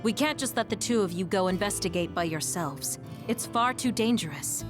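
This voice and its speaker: A woman's voice: mid-range voice, lively intonation, normal speed, music in the background, natural voice. An actress voicing a sensible, protective young woman teammate in an anime game dub, firm and candid. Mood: concerned.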